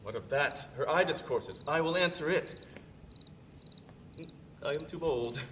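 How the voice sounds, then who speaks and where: A man performs a lovestruck young suitor in a Shakespearean monologue. He speaks slowly and theatrically, lingering on his exclamations. The microphone is far from him, and loud insect noise fills the recording. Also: a little high, lively intonation. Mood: tender awe. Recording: distant mic outdoors, loud insect noise.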